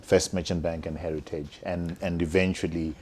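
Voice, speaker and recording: A man's voice: deep voice, little pitch movement, normal speed, darkish tone, slight background noise. A man hosting an interview, asking short prompting questions and giving brief backchannels. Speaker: interview host.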